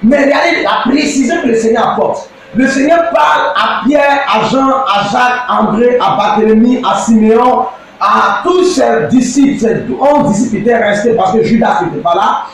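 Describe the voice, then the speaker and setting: A man from Côte d'Ivoire with an Ivorian French accent, preaching an impassioned address as a pastor, often shouting and drawing out words for emphasis. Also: extremely high pitch, gravelly, very echoey, recorded from a distance, slight background noise, low-quality recording. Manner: declamatory, often shouting, with slow emphatic stretches.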